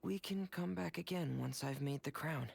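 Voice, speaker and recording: A man playing a shy, gentle young craftsman in a video game, speaking in a reserved, hesitant way. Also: fairly high pitch, normal speed, gravelly, very noisy. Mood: shy, quiet.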